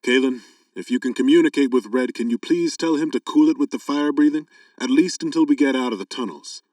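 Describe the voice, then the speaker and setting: A wry, watchful sci-fi lieutenant played by a man, with a clear, crisp voice and casual delivery. The audiobook dialogue sounds dry, set slightly back from the microphone. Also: medium pitch, a little fast, darkish tone, dry recording, recorded from a distance, lightly acted. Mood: wry urging. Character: wary, wry young male lieutenant in sci-fi adventure.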